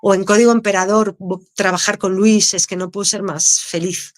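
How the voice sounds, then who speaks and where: A woman, an actress, speaking with a Canarian accent, answering interview questions in a candid, expressive way and laughing easily. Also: mid-range voice, normal speed. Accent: Canarian Spanish. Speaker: actress, guest.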